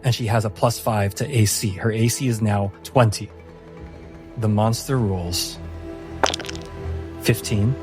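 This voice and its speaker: A man narrating a tabletop fantasy combat as game master, in a deliberate, vivid storytelling manner. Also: on the low side. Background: music.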